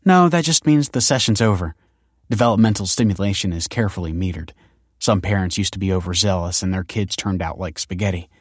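A man voicing a feisty young woman in a narrated story, brisk, sardonic and emphatic. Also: low voice, no echo, studio quality. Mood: brisk, informative. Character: sharp-tongued, teasing, feisty young woman.

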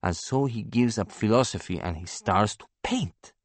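A man's voice: fairly low pitch, animated, moderate pace, dry recording. A male narrator performs a young woman painter in a novel. She is blunt, idealistic and sardonic, speaking with earnest, rhapsodic emphasis. The sound is close and dry. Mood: animated, intense.

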